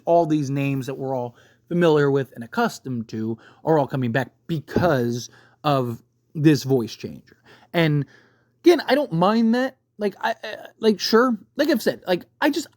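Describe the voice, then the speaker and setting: An online commentator with a mid-pitched voice, recorded close and very dry. The delivery is a casual, opinionated rant, emphatic and conversational, punctuated by rhetorical check-ins. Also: no echo. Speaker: YouTube host giving film commentary.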